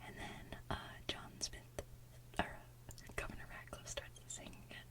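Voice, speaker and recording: A woman hosting ASMR, chatting casually in a soft whisper like an enthusiastic fan who rambles as she goes. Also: measured pace, recorded from a distance. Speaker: ASMR video host.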